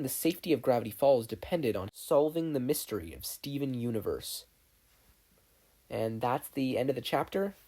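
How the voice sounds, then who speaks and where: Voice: medium pitch, mellow. A narrator reading a scripted story aloud as a podfic reader.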